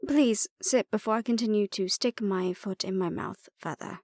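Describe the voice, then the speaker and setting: A close, dry recording of a lady adventurer played by a woman, with a mid-pitched voice. She is a sardonic archaeologist in an audio roleplay, self-deprecating and inclined to ramble awkwardly. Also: moderate pace, darkish tone, dry recording, close to an everyday voice. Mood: flustered, awkward.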